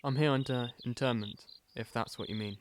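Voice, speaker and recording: A man's voice: medium pitch, fairly even intonation, fairly quick, natural voice. A young man acting in an audio drama as a polite but bitter British-Italian wartime internee, with a wry manner. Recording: noisy recording with birdsong. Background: birdsong.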